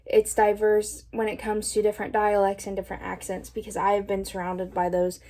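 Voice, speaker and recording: Young woman from indiana, college student, mellow warm voice, steady vlog talk. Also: medium pitch, fairly quick. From Indiana, USA. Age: young adult.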